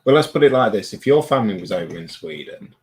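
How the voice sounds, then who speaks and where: A man co-hosting a casual stream, with a voice that leaps widely in pitch. His banter is blunt and bossy, and he teases constantly. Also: sing-song, fast, darkish tone. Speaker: co-host.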